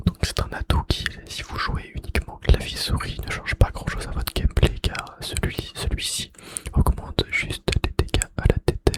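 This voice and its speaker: A man whispering in a breathy, unvoiced voice with mouth sounds, in an ASMR style, softly repeating phrases. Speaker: ASMR video creator and host.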